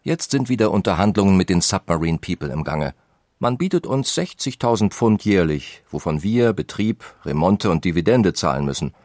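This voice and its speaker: An actor reading the letter of a blunt 19th-century businessman about negotiations, in an animated way. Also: sits low, moderate pace, no room sound, studio quality. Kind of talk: reading letters aloud in a radio documentary.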